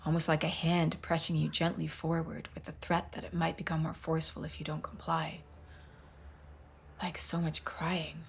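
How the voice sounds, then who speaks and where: A woman's voice: sits low, creaky, slightly rough. A woman reading scripted second-person narration aloud as she plays a story game.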